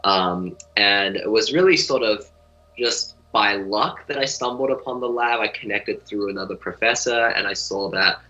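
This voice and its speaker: A young man, a medical student answering interview questions in a relaxed, rambling way full of fillers. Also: on the low side, moderate pace. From New Zealand. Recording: dull lo-fi audio.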